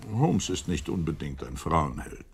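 An actor playing a sceptical, slightly exasperated Victorian doctor in a German radio play, husky and deliberate in his dry delivery. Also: extremely low pitch, big pitch swings, slight background noise, full character voice. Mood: dry, worried. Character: Holmes's loyal doctor friend, Victorian, sceptical, a little exasperated.

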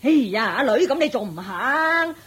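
A woman's voice: high-pitched, animated, normal speed, fairly bright tone, breathy edge, heavily acted. An actress playing a scornful, bossy mother-in-law in a radio drama, sneering and hectoring in Hong Kong Cantonese.

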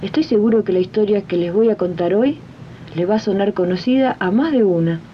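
A woman's voice: fairly quick, a little hiss or hum, close to an everyday voice. A woman playing a spirited, supportive domestic worker in a 1980s community radio drama, chatty and emphatic, with an Argentine accent. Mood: chatty, confiding. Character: spirited working-class domestic worker, supportive union friend. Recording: muffled, rumbly 1980s archive radio recording.

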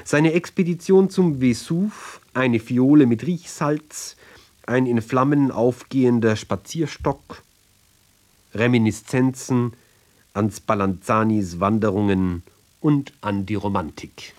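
A man giving a literary reading of narrative poems, with deliberate, measured phrasing and pauses between short fragments. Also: medium pitch, a little mellow.

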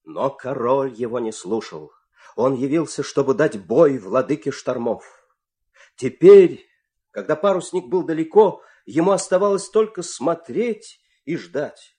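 Animated, theatrical male fairy-tale narrator. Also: medium pitch, measured pace. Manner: theatrical, suspenseful storytelling.

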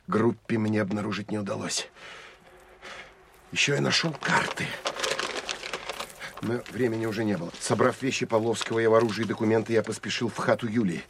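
An actor playing a terse, introspective Soviet military officer who narrates an audio drama in measured, clipped phrases. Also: male voice, on the low side, vocal fry, background noise. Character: male Soviet military counterintelligence officer, terse, weary, self-critical. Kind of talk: Russian audio drama first-person narration.

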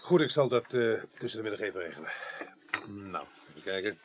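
A man's voice: lowish voice, sing-song, fast talker, noisy background. A man playing a middle-aged, plain-spoken police inspector in a radio drama, dry and understated. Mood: businesslike. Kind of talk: 1980s Dutch radio drama acting.

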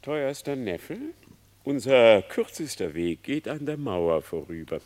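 Sly greedy fox, fake humble and theatrical, verse radio drama, pitch all over the place. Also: male voice, sing-song, slow pace, a little mellow. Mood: feigned contrition. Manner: wheedling, theatrical, mock-humble. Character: sly, flattering, greedy male fox feigning piety.

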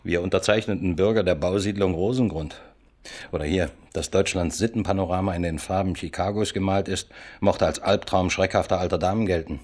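A deep-voiced chief inspector in a radio crime play. He is curt and commanding, with a gruff, sardonic manner and diction. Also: fairly even intonation, brisk, a little mellow. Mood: frustrated, sardonic. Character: gruff, pressured male chief police inspector leading murder inquiry.

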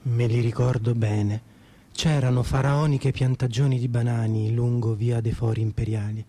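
A man's voice: mid-range voice, flat delivery, moderate pace, mellow. A man performing scripted radio theatre as a co-narrator, in an ironic, mock-nostalgic manner.

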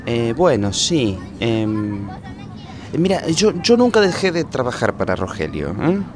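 Animated, bumbling Argentine detective played by a man. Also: mid-range voice, a little slow, noisy background. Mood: nervous scheming. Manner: hesitant, rambling, stammering. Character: bumbling, childish young man detective, nervous schemer and corny romantic. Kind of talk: comedic radio drama acting and narration.

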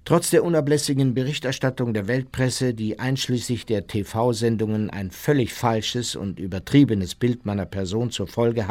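An actor playing an unrepentant ageing Nazi war criminal in a radio play, justifying himself in a matter-of-fact, self-righteous way. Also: male voice, mid-range voice, a little mellow, natural voice.

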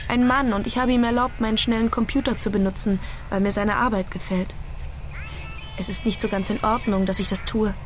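Woman as high-voiced confiding letter writer. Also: natural voice. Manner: confiding, self-doubting. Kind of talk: intimate first-person radio drama monologue. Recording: music behind the voice.

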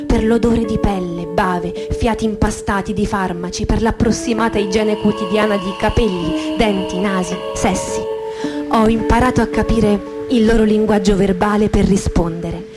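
A woman performs a dramatised memoir as radio theatre, her pitch in the middle range. She speaks in short, measured phrases with deliberate pauses, over musical accompaniment. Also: fairly even intonation. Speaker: actress reading a dramatised memoir. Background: guitar music behind the voice.

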